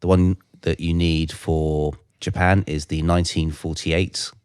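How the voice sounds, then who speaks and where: A middle-aged British man co-hosting a casual podcast, explaining things in a halting way. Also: rumbling low, level tone, a little mellow, clear, resonant tone, dry recording, clean studio sound. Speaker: podcast co-host.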